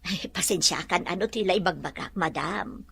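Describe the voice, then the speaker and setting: A woman's voice: fairly low pitch, moderate pace, vocal fry, fairly bright tone, husky, full character voice. A woman acting a respectful, apologetic servant in an Ilocano audio drama.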